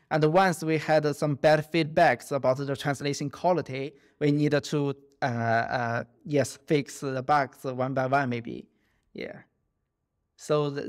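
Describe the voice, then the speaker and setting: A man in his thirties whose first language is Chinese answers questions over a video call. He talks haltingly, with many fillers and restarts. His voice sits at an ordinary pitch for a man. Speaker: guest researcher. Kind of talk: interview answers. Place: home office.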